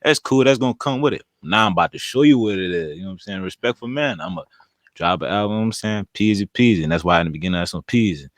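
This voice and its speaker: A young man with a very low, deep voice talks casually as a podcast guest, laid-back and rambling in street slang. The sound is close and dry, with no room around it. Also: rapid-fire, no echo. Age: young adult. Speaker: rapper guest.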